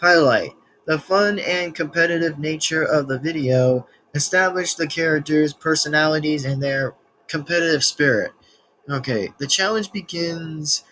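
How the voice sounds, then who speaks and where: A man's voice: fairly high pitch, moderate pace, sharp tone, slight background noise, poor audio. A man in his early twenties hosting a reaction video, rambling and stumbling through restarts as he reads text aloud. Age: 20s. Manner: rambling, many restarts and stumbles while reading. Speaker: reaction channel host.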